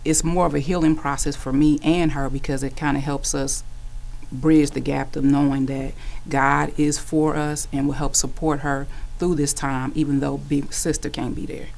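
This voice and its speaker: A woman giving personal interview answers as an actress, reflective and hesitant, with pauses. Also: deep voice, level tone, creaky, a little mellow.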